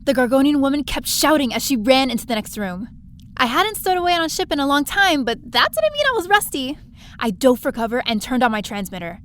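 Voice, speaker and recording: A woman's voice: high voice, very animated, fairly quick, a bit bright, slight background noise. A woman playing a plucky, wry adventurer who narrates her own story in a science fiction audio drama. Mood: wry, adventurous. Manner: wry, breezy. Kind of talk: first-person scripted narration, audio drama.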